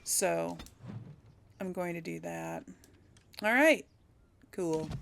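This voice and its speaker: A woman's voice: fairly low pitch, extremely slow, creaky, a bit bright, a little hiss or hum. A woman giving casual game commentary as a let's play host, chatting and thinking aloud. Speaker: let's play host, role-playing a character.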